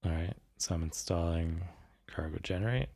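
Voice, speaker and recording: A man with a low voice chats casually as a livestream host. He sounds a little raspy and worn from being sick, and he thinks aloud in a hesitant, halting way. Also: darkish tone. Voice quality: slightly raspy. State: voice tired after recent illness. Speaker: streamer host.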